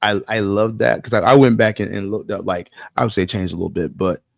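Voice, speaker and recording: A man's voice: lowish voice, steady tone, fast talker, gravelly, no echo. A man co-hosting a podcast, talking informally with opinions and casual swearing, on a slightly dull microphone. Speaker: podcast co-host. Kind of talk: casual chat about movies and games.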